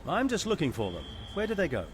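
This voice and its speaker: A man's voice: sits high, wildly swooping pitch, quick pace, slight background noise. A man playing a sardonic, easygoing mercenary pilot in a space video game, with a wry, casual manner. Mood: casual, probing.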